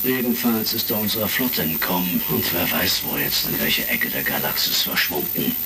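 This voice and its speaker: An actor playing a genial, authoritative intelligence chief in a retro sci-fi audio drama, measured and conversational. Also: male voice, low-pitched, expressive. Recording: crackly vintage record, slightly distant.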